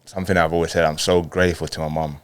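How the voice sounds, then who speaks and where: A man's voice: a bass voice, little pitch movement, fast talker, clean tone, no echo. A man in his thirties from Colombia, a native Spanish speaker, telling personal stories casually as an interview guest. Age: 30s. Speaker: podcast guest, footballer.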